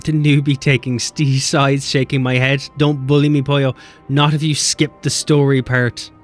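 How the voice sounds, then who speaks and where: A man's voice: medium pitch, faint music behind. A man streaming a game, chatting casually and swearing as he bickers with chat. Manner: animated, swearing, quick banter with chat.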